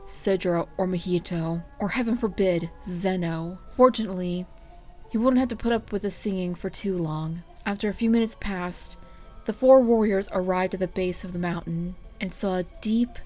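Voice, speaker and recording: A woman's voice: lowish voice, normal speed, a little mellow, soft background music. A woman hosting and narrating a fan fiction reading in a casual, storytelling style. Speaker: fan fiction reader and channel host.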